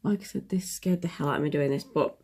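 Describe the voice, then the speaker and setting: A woman's voice: deep voice, quick pace, dark tone, breathy edge. A young woman, chatting casually through a makeup tutorial, sniffly from a cold and often dropping to a whisper as she works. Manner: chatty, self-deprecating, drops into whispers while working.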